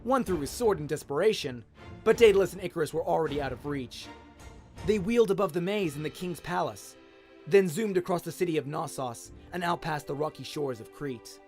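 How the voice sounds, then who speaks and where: A man's voice: on the high side, expressive, fairly quick, darkish tone. A man narrating a scripted story dramatically, in the manner of an audio drama. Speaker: narrator, voice actor. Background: music and sound effects.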